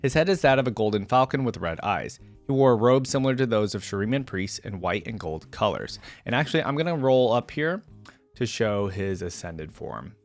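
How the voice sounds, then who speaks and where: Casual male gaming reaction host. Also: medium pitch. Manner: informal, appreciative. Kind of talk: casual commentary, reading aloud and reacting.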